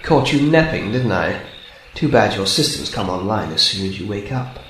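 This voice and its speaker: A man's voice: fairly low pitch, big pitch swings, moderate pace, fairly bright tone. A man voicing a sadistic, taunting robot hunter in an amateur radio drama, needling with mock sweetness. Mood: gloating. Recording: roomy sound with faint noise.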